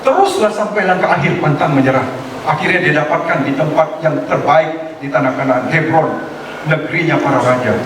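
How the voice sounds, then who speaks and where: A male pastor preaching a sermon in a lively way, asking the congregation questions and acting out quoted voices. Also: fairly high pitch, brisk. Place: church hall. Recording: echoey hall, distant mic, noise.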